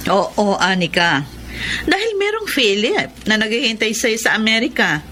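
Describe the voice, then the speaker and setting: A woman's voice: on the high side, sing-song, normal speed, sharp tone, slight background noise. A woman playing a vengeful, scornful aunt in a Filipino radio romance drama, theatrical and drawling, mixing in English phrases. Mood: scheming, smug. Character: scornful, vengeful aunt plotting against a young man.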